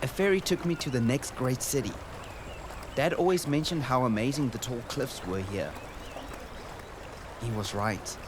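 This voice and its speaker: A man playing an earnest, wonder-struck boy in a radio drama, speaking gently and descriptively. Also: mid-range voice, normal speed, pauses often, close to an everyday voice. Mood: hushed wonder. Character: earnest, wonder-struck boy recording a journey for his sister. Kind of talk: radio drama narration and dialogue. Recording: noisy outdoor ambience behind the voice.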